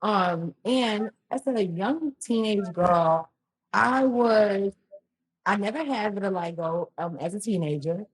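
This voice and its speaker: Podcast guest: woman, 30s, husky tone, rambling and conversational. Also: mid-range voice, slow, low-quality recording. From Louisiana, US. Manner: chatty, animated storyteller with many ums and restarts. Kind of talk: casual storytelling interview.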